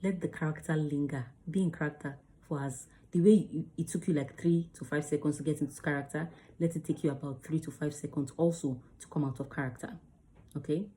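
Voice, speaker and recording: A woman's voice: sits low, fairly even intonation, a little fast, dark tone, slight background noise, poor audio. A woman giving casual, emphatic advice on self-tape auditions, acting out examples as she goes. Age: adult under 35. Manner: chatty, emphatic, demonstrates lines. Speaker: acting coach. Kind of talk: casual teaching to camera.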